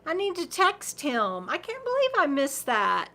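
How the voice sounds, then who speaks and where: A woman's voice: sits high, wildly swooping pitch, measured pace, sharp tone. A woman in her fifties hosting a casual livestream, reading viewer comments, rambling and laughing often, losing her voice. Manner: rambling, chatty, laughs often, repeats words. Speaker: livestream host.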